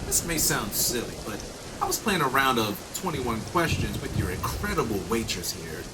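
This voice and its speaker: A man playing an excitable, ingratiating diner customer in an audio drama, flustered and overly polite as he talks. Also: medium pitch, moderate pace, noisy background, close to an everyday voice. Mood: eager, polite.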